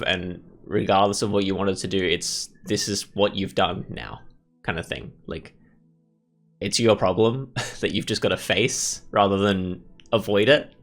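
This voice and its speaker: Low-voiced man musing over a game. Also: fairly bright tone. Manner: musing, tentative, thinking aloud in fragments. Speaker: gaming host. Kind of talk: casual let's play commentary. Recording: music playing behind commentary. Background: music.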